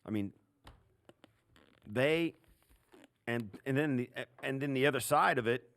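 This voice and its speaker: A man's voice: medium pitch, measured pace, a bit bright, no echo. A man with an American accent co-hosting a football podcast as a former player, casual and emphatic with punchy, repeated phrases.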